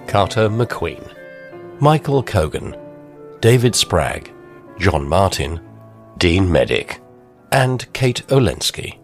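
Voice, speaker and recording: A man's voice: low voice, exaggerated voice. A man reading the titles and cast credits of an audio drama in a scripted, dramatic announcer style. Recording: music behind.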